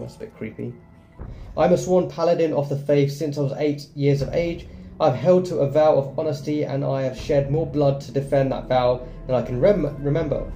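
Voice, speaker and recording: A male gaming host with a very dark, soft-edged voice at a medium pitch. He reads game text aloud and comments casually, in an echoey room with faint music behind him.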